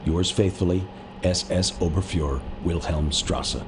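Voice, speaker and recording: A man's voice: deep voice, measured pace, noisy background. A male actor playing an earnest, dutiful military intelligence aide in a video game, speaking formally and precisely. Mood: formal, grave. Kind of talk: video game briefing acting.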